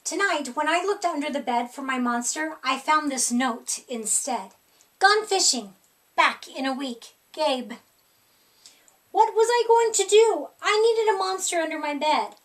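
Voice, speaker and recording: A woman reading a picture book aloud as expressive children's story narration. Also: high voice, normal speed, few pauses, distant mic. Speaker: storybook reader.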